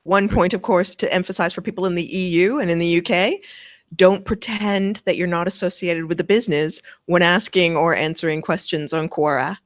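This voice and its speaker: A woman's voice: on the low side, fairly even intonation, moderate pace, no echo, studio quality. A woman with a Canadian accent, co-hosting a marketing podcast in a joking, chatty manner. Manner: joking, laughs often. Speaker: co-host. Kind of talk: casual podcast chat.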